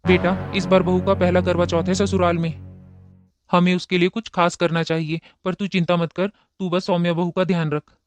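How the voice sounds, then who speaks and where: A man voicing a gentle, kindly father. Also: sits high, moderate pace, dry recording, faint music behind, lightly acted. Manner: gentle, coaxing and steady. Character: kind, worried middle-aged father. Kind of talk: Hindi cartoon dubbing.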